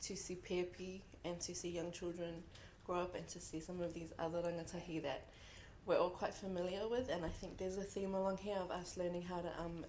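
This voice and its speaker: A woman's voice: fairly low pitch, fairly even intonation, a little mellow, a little hiss or hum, low-quality recording. A young woman from New Zealand with a New Zealand accent, giving a reflective, earnest speech at a book launch. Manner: reflective and earnest, with frequent pauses. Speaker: contributor speaking at a book launch. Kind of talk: heartfelt speech to an audience. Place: event room at a book launch.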